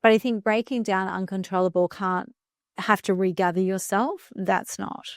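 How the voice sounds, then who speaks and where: A woman in her fifties talking earnestly about speaking and recovery, slowing down to stress key phrases. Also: medium pitch, fairly even intonation, no room sound, clean studio sound. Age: 50s. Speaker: guest speaker coach. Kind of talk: reflective podcast conversation.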